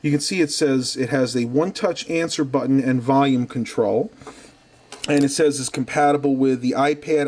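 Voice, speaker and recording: A man's voice: medium pitch, a little mellow. Male online video host, mid-range voice, casual review delivery, chatty step-by-step explanations. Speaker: YouTube channel host.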